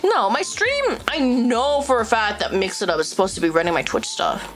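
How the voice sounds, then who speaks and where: A woman streamer chatting loosely and reacting out loud, her voice sitting a bit high and swinging widely as she exclaims. She swears freely and breaks into laughs while reading stories aloud, with faint music underneath. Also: wildly swooping pitch, moderate pace. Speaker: VTuber streamer. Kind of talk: casual livestream chat reading stories aloud.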